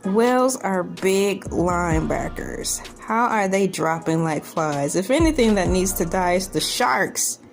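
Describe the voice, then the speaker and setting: A woman's voice: mid-range voice, lively intonation, unhurried, gravelly, few pauses. A woman hosting a casual, comedic commentary on a news article, with incredulous, joking and rambling asides. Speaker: video host. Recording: music bed under the voice. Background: background music.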